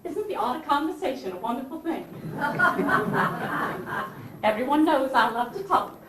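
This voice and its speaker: Woman acting a proud southern first lady in a stage play, highish voice, theatrical and genteel, echoey room far from mic. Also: lively intonation, a little slow. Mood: genteel, chatty. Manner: theatrical, genteel, emphatic, swinging from anguish to haughty scorn. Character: proud, indignant Southern First Lady of the Confederacy, wounded mother. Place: theatre stage, recorded from a distance. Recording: roomy distant stage recording with hiss.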